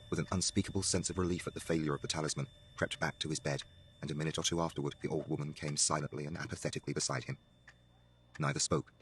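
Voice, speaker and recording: A man's voice: extremely low pitch, breathy edge. A man giving a dramatic scripted narration of a horror story.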